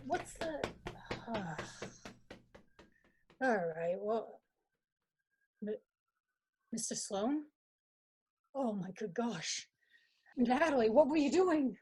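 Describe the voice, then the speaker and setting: A woman as a hesitant, higher-voiced young gentleman. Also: lively intonation, husky, heavily acted. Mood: shaken, urgent. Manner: hesitant, halting, urgent. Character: anxious young gentleman suitor at a murder scene. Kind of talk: radio mystery drama acting.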